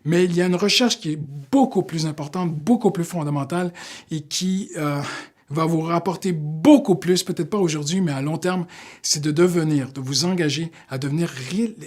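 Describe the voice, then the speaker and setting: A man's voice: a little high, a bit bright. Earnest Canadian man preaching. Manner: earnest, with many fillers, slowing down for emphasis. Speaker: pastor. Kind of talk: short devotional sermon.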